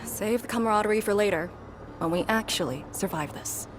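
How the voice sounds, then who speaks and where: An actress playing a stern, reserved young field operative in a video game, clipped and commanding. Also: female voice, medium pitch, brisk, noisy background, natural voice. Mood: stern, focused. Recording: loud game music behind. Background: loud music and game sound.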